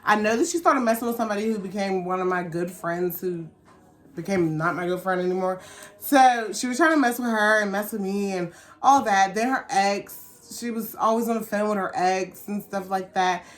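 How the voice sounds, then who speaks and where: A woman vlogger telling a personal story in a casual, animated, slangy chat, acting out what people said. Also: medium pitch, normal speed. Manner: animated, slangy, candid storytelling with acted-out quotes.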